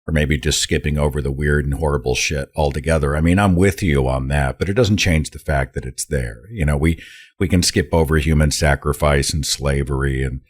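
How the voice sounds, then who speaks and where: A man hosting a podcast interview, asking questions in an animated, conversational way. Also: very deep voice, brisk. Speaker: podcast host.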